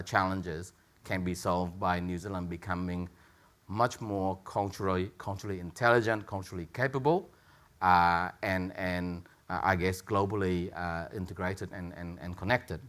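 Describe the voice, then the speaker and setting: A man with a very low voice speaks on a panel as a tech entrepreneur. His delivery is halting, full of restarts, repeated words and fillers. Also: steady tone. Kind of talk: panel discussion remarks.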